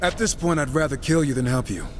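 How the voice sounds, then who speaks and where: Male actor as cocky joking horseman, higher-pitched, animated intonation, sardonic, casual, game cutscene. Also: fast, soft background music, close to an everyday voice. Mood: impatient, sardonic. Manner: flippant, casual, sardonic delivery. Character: wisecracking, sarcastic, irreverent gunslinging horseman brother. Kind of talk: video game cutscene acting.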